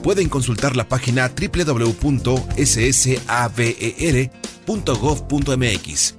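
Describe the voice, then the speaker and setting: A man with a Mexican accent narrating a scripted health radio serial in an expressive announcer style. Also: mid-range voice, unhurried. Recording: music bed behind the voice.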